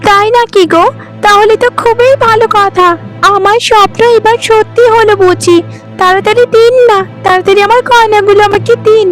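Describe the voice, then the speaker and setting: Lady doing super high vain cartoon bride girl, spiteful n greedy, taunts a lot, bit of music behind. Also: fairly even intonation, a little fast, rough recording. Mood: greedy, delighted. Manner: gloating, taunting, shrill exclamations. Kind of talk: animated folk-tale dialogue.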